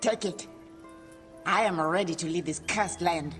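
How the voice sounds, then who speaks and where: An actress playing a weary, guilt-laden relic trader in a video game, grave and portentous. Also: female voice, fairly low pitch, a little slow, soft background music, lightly acted.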